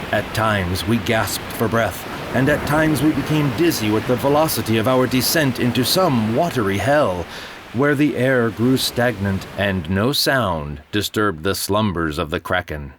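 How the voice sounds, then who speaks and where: A man narrating a dramatized horror tale of the sea in a grave, measured voice that sinks low and quiet on dark phrases, with music playing underneath. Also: background noise.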